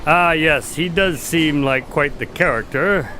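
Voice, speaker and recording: A man's voice: on the high side, unhurried, bright, forceful tone, slight background noise. A man playing a good-natured, sceptical doctor, a detective's sidekick, talking in a casual, slightly bumbling way in a radio drama. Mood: amiable, wry.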